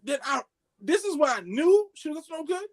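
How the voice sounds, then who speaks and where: A man telling a story among friends on a podcast, excitable and slangy, acting out the dialogue as he goes. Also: very high-pitched, moderate pace. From Haitian background. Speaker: podcast co-host.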